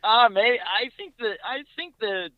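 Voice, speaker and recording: A man's voice: high voice, expressive, unhurried, dry recording, phone-quality sound. A man talking casually as a podcast guest, recounting racing details in a conversational way.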